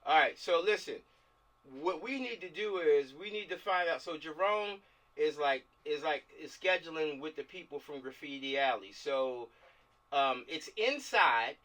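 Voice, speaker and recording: Bright-voiced man, high-pitched, leading rehearsal. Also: lively intonation, unhurried. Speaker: filmmaker leading a table read. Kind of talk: casual group discussion. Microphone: video call. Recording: distant mic on a video call.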